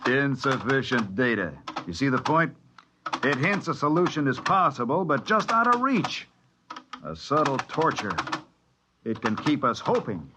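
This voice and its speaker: Bitter spaceship engineer, noisy old recording. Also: male voice, mid-range voice, sing-song, a little slow, long pauses. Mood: bitterly mocking. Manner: sardonic, taunting, theatrical. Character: bitter, fatalistic spaceship engineer, mocking and paranoid about machines. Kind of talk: 1950s radio drama acting.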